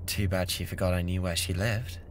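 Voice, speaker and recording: A man's voice: low-pitched, steady tone, slight background noise, natural voice. A British man playing an obsessive, unhinged young yandere classmate in an intimate, menacing ASMR roleplay. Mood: gloating, sinister. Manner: menacing, intimate, slow and theatrical.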